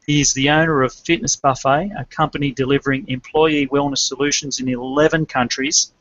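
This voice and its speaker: A man's voice: mid-range voice, fairly even intonation, a little fast, a bit bright, dry recording. A man from Australia hosting a business podcast, giving upbeat, rehearsed introductions and questions. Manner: upbeat, scripted-sounding introductions. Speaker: podcast host and business coach. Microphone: remote call, dull lo-fi and dry. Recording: dull dry online call audio.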